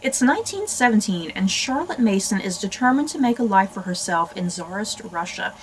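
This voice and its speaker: A woman's voice: medium pitch, big pitch swings, brisk, a little hiss or hum, rough recording. An American woman hosting a book channel, chatting casually and expressively to viewers as she reads synopses aloud. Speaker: book review channel host.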